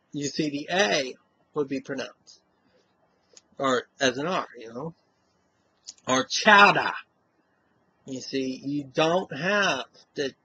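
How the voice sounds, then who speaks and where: A man's voice: on the high side, bright, forceful tone, breathy edge, rough recording. A man with a Western Massachusetts accent, talking casually to camera in a rambling way, often tacking on "you know?". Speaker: vlogger.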